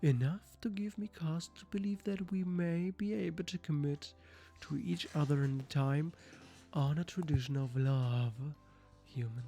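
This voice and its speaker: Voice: mid-range voice, a little slow, warm tone, soft background music, natural voice. A person voicing a haughty, demanding cat from a visual novel, speaking formally and imperiously. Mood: solemnly fond. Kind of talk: visual novel character read-aloud.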